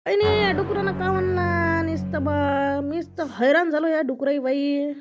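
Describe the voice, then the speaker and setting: Woman as a high-pitched Varhadi farmer man, dull sound. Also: moderate pace, faint music behind. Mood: complaining. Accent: Varhadi Marathi. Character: worried, talkative village farmer man with fields to pick. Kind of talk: comic cartoon dialogue.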